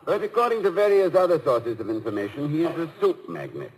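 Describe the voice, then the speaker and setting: An actor playing a witty, slightly anxious college president in a 1950s radio comedy-drama, with formal, hesitant, dryly humorous speech. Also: male voice, fairly high pitch, big pitch swings, fast, gravelly, crowd noise. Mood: dryly joking. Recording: noisy vintage 1950s radio broadcast.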